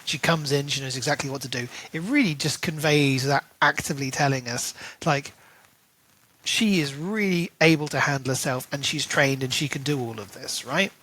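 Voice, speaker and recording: A man co-hosting a podcast, casually discussing a book in long, animated run-on thoughts full of fillers. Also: mid-range voice, moderate pace, rough recording. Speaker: podcast co-host.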